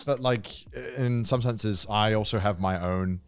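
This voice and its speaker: A man's voice: lowish voice, a little slow. A man co-hosting a podcast, explaining his opinions in casual, slangy chat. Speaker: podcast co-host; voice actor.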